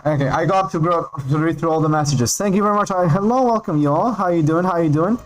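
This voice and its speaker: A man from Peru whose first language is Spanish, chatting casually and excitably while streaming a game. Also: fairly high pitch, low-quality recording. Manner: rambling, excitable, reads out names with pauses. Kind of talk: casual livestream chat while gaming. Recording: faint background music.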